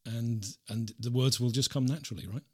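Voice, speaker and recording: A man's voice: medium pitch, fairly even intonation, a little fast, husky. A male podcast host asking questions and offering short, encouraging responses. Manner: encouraging, brief backchannels. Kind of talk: interview questions.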